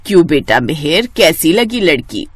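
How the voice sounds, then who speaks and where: A woman's voice: low-pitched, big pitch swings, moderate pace, breathy edge, no room sound, full character voice. A woman voicing an anxious, gossipy middle-aged mother-in-law with a dramatic, grumbling manner. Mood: scheming, chatty.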